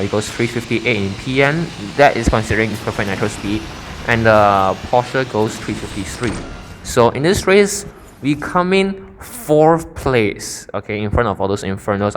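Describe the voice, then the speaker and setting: A young man casually commenting on his own racing gameplay, rambling and correcting himself as he goes. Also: lowish voice. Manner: rambling, self-correcting, chatty. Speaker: gaming YouTuber. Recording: game sound loud behind voice. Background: racing game engine sounds.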